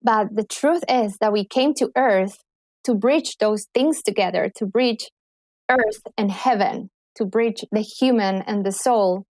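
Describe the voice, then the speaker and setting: A woman's voice: medium pitch, measured pace, no echo, low-quality recording. A woman from Colombia with a Colombian accent, a guest speaking enthusiastically in a casual podcast conversation. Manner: enthusiastic, animated. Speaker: guest, clinical psychologist and healer.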